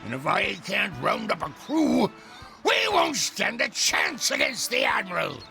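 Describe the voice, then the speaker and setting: Gravelly, blustering ghost pirate captain. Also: male voice, sits high, huge pitch swings, measured pace, faint music behind, full character voice. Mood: indignant, aggrieved. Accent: stage pirate. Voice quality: gravelly, shouty. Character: blustering, self-pitying old male ghost pirate captain. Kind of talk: animated game cutscene acting.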